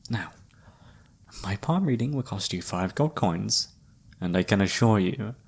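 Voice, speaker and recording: A man playing a flirty, theatrical travelling palm reader in a fantasy ASMR roleplay, teasing and dramatic with a breathy, husky voice. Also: lowish voice, lively intonation, measured pace, full character voice. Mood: flirty, soft.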